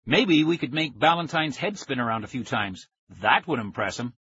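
A man voicing a lively, bossy girl with an eager, insistent and demanding way of talking. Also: medium pitch, normal speed, breathy edge, dry recording, muffled recording, heavily acted. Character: pushy, lively girl, bossy and suspicious friend.

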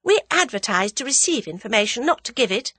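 Lady reader doing a perky young adventuress, excitable n teasing, high bright voice, bouncy storybook read. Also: moderate pace, husky, no echo, exaggerated voice. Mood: guarded. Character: lively, impulsive, sharp young woman adventurer.

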